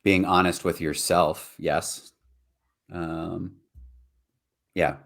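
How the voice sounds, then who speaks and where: Podcast co-host, man, very deep low voice, earnest and reflective, conversational delivery. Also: fairly even intonation, very slow, creaky, a bit bright. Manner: earnest, reflective, frequent "right?" and "like". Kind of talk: casual earnest discussion.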